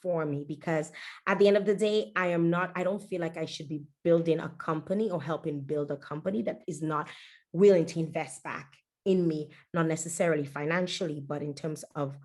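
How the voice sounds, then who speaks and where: A woman from Dominica, telling stories in an animated, conversational way as an interview guest. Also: deep voice, a little fast. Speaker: podcast guest.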